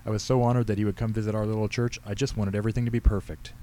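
Storybook pastor, middle-aged man, acted by a male reader: sheepish, earnest, low and very mellow. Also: little pitch movement, very fast, lightly acted. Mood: earnest, anxious. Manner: mumbling, earnest, embarrassed.

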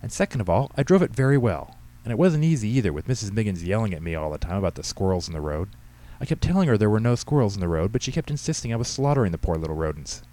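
A man's voice: on the low side, very animated, very fast, mellow, voice pushed up. A man voicing an indignant, defensive teenage girl who protests insistently in an audiobook story. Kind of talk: audiobook character dialogue.

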